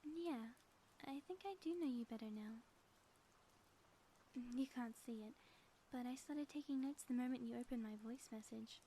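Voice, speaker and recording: A woman playing a flirtatious, affectionate ex-villain wife in an intimate ASMR audio roleplay, teasing and breathy, often dropping toward a whisper. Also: fairly high pitch, normal speed, long pauses, mellow, lightly acted. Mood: fond, teasing. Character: playful, flirtatious ex-villain wife, theatrical yet sappy and affectionate. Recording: faint background noise.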